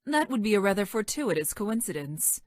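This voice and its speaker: A woman voicing a stranded time-traveling scientist in a video game, clinical and detached, speaking in stilted, formal, technical language with a deadpan delivery. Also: mid-range voice, moderate pace, dry recording, lightly acted. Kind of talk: scripted video game character lines.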